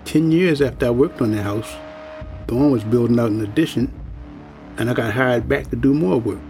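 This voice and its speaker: A man's voice: mid-range voice, moderate pace, gravelly, a little mellow, faint music behind, close to an everyday voice. An actor playing a world-weary old working-class man in an audio drama, speaking in a folksy, plain-spoken, ominous way. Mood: ominous warning.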